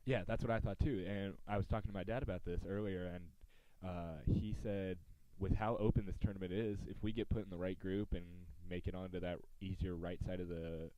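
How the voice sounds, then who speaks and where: A man's voice: sits low, steady tone, a little fast, hardly any pauses, dry recording, dull audio. An American man hosting a podcast, talking sports in a casual, conversational style. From United States.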